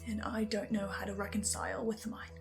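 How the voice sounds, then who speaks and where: A woman playing a tormented, hungry archivist in a halting, theatrical horror monologue addressed straight to the listener. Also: mid-range voice, flat delivery, vocal fry, over music, natural voice. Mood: brooding, confessional. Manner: theatrical, halting, swinging from whispers to outbursts. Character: tormented, obsessive, hungry archivist confessing to the audience. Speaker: host of a horror listen-along series. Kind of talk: dramatic in-character monologue to the listener.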